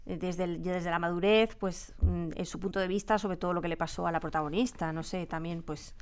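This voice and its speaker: A woman's voice: on the low side, steady tone, a little fast, smooth. A woman with a Castilian Spanish accent, a radio drama creator answering interview questions conversationally in a studio.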